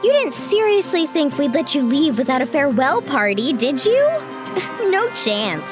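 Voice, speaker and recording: Playful young friend; high, very lively, over score. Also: female voice, normal speed. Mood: teasing. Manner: teasing, lively. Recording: game audio with music behind.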